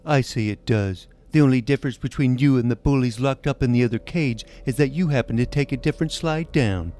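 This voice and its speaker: A man's voice: medium pitch, fairly quick, mellow, close to an everyday voice. A man playing a smug, menacing young bully supervillain who taunts his victims in an audio drama. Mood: smug taunting. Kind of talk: audio drama villain acting.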